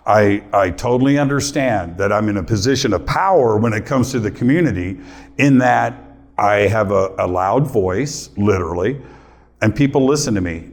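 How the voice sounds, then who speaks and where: Animated man telling a story. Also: fairly low pitch. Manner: animated storyteller, acts out his own quotes. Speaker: radio host giving a talk. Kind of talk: storytelling talk to a live audience.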